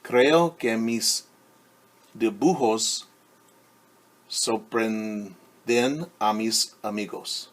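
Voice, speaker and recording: A man reading a picture book aloud as a teacher, in a story time style, pausing to explain and wonder aloud for young listeners. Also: fairly low pitch, poor audio. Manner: stumbles over and corrects words when reading Spanish. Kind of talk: bilingual story time with commentary.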